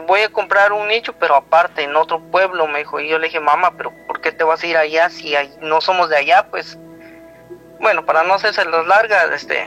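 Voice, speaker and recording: A Guatemalan man with a high, bright voice tells a personal story in a rambling, conversational way. He keeps quoting who said what, over clearly audible background music on a slightly dull recording. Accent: Guatemalan Spanish. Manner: rambling, retells dialogue with frequent "he told me" tags. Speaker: guest telling a personal story.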